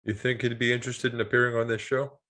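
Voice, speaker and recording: A man's voice: medium pitch, level tone, fast talker, sharp tone. A man hosting a podcast, asking brief, direct interview questions. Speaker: podcast host.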